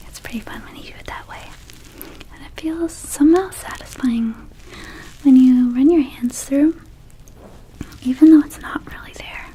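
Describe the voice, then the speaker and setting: A woman voicing a small, laid-back, slightly shady vampire dispensary keeper in an ASMR roleplay, whispering softly in a rambling, casual sales patter. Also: high-pitched, moderate pace, pauses often, close to an everyday voice, rough recording. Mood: dreamy, casual. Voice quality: soft, breathy whisper.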